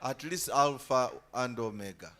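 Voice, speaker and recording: A man's voice: mid-range voice, unhurried, a bit bright. A man hosting a church event, passing the mic around and teasing the crowd in a jovial way.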